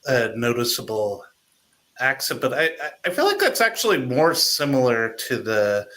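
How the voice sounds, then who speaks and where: A man's voice: mid-range voice, huge pitch swings, moderate pace, a bit bright. A man hosting a casual chat, speaking hesitantly and thinking aloud. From United States, Midwest. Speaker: podcast host.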